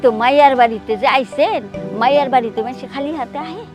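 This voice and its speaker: A woman's voice: high voice, fast, over music, lightly acted. A woman playing a middle-aged, spiteful and greedy mother-in-law in a TV drama, scolding scornfully with a rural Bangladeshi accent. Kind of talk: melodramatic Bangla TV drama acting.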